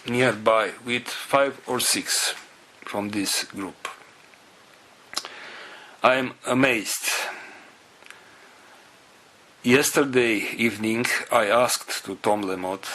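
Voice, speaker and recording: A man with a non-native English accent giving a spiritual talk to followers in a solemn, halting manner, in short phrases. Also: on the low side, fairly even intonation, pushed and bright. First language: not English. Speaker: spiritual group leader.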